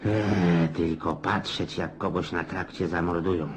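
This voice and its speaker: An actor playing a talkative, grumbling older country landowner in a radio drama, rambling in a folksy, world-weary yet jovial way. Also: male voice, sits low, fairly even intonation, unhurried, a little hiss or hum. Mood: hospitable, insistent. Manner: rambling, folksy, chuckling, exclamatory.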